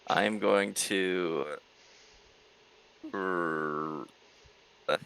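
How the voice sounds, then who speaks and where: A man's voice: low-pitched, steady tone, very slow, vocal fry, a bit bright, clean tone, rough recording. A man playing a tabletop roleplaying game, talking casually and hesitantly as he thinks aloud about his move.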